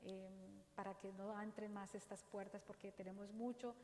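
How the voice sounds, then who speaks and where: A woman with a Dominican accent gives an earnest, exhorting faith talk in a church, speaking slowly and deliberately. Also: medium pitch, flat delivery, mellow, a little hiss or hum. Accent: Dominican Spanish. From Dominican Republic. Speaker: parish speaker. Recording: roomy church echo, faint ambience.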